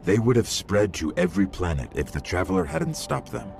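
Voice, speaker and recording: A man's voice: low-pitched, very animated, brisk, creaky, darkish tone, slight background noise, heavily acted. A man voicing a small robot companion in a game, earnest and wry as he explains and guides. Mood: earnest, explaining. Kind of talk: scripted game dialogue.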